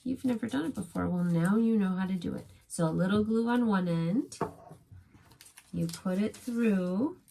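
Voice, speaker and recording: Husky woman guiding children through crafts. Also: medium pitch, normal speed, mellow. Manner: encouraging, simple short phrases addressed to a child. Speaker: preschool teacher hosting a children's craft video. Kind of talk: gentle step-by-step craft demonstration for young children.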